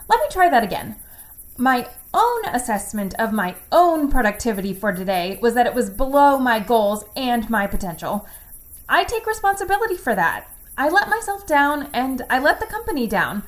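A woman playing an earnest, self-critical corporate worker recording a log entry in an audio drama, speaking in stilted, conscientious self-help jargon. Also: on the high side.

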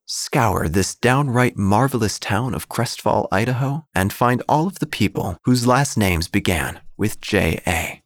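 A man reading a scripted first-person horror story, with deadpan, dryly absurd delivery. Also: fairly low pitch, measured pace, few pauses, no room sound. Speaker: narrator.

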